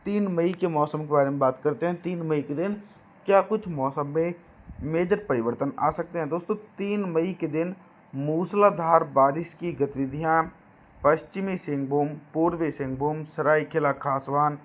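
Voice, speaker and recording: Hoarse man reading a weather forecast. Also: fairly high pitch, moderate pace. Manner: steady listing of place names, addressing viewers as friends. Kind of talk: regional weather forecast narration.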